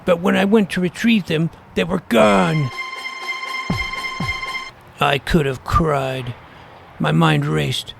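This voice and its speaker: Man as an earnest, creaky-voiced schoolboy detective. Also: fairly high pitch, steady tone, slow pace, pauses often, over music, full character voice. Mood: dramatic, wry. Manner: precocious, wordy, earnest, mock-serious. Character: earnest, eager schoolboy paranormal detective narrating his adventure. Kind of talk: first-person scripted audio drama narration.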